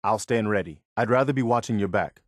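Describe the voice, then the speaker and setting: A man's voice: on the low side, fairly quick, dry recording, studio quality, close to an everyday voice. A man playing an earnest, loyal militia officer in a video game, speaking sincerely and plainly with a sense of duty. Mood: cooperative, calm. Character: earnest, steadfast militia officer, loyal adult male companion. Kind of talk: acted video game dialogue.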